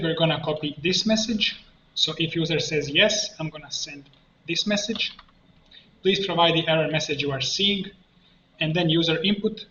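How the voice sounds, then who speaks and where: Bright-voiced man in a roomy, muffled recording. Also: a little high. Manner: halting, step-by-step explaining. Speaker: software tutorial instructor. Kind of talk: unscripted screen-share tutorial narration. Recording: muffled roomy mic with faint noise.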